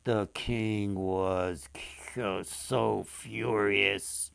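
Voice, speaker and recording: An older man reading a children's story expressively, with dramatic pauses, addressing his listeners directly. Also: fairly low pitch, steady tone, gravelly, fairly bright tone, clear, resonant tone, no room sound, studio quality. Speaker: author and storyteller.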